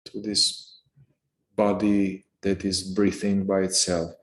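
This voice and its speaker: A man leading a guided meditation in a calm, unhurried manner, speaking in short phrases with pauses between them. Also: low-pitched. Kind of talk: guided meditation, unscripted instruction.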